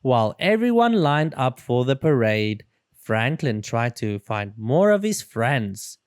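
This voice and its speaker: A storyteller reading a children's book aloud in an expressive, dramatic and deliberate way. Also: medium pitch, smooth, no room sound, studio quality. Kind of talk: expressive read-aloud narration.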